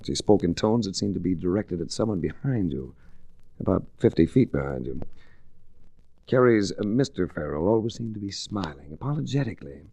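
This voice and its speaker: Hard-boiled private investigator, lowish voice with very mobile pitch, wry narration, vintage radio. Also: male voice, expressive, a little fast, vocal fry, dark tone, husky, dry recording, exaggerated voice. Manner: hard-boiled, dryly witty first-person storytelling. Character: tough, wry male investigator narrating his own case. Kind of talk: 1940s radio detective acting. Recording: restored old radio with hiss.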